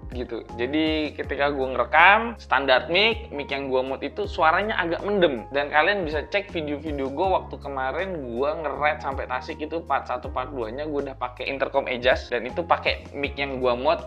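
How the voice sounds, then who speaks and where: A man's voice: medium pitch, normal speed, few pauses, bright, forceful tone. A man explains things to viewers as a vlogger, in an informal, chatty, step-by-step way. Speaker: vlogger, tech reviewer. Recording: dull lo-fi sound under music.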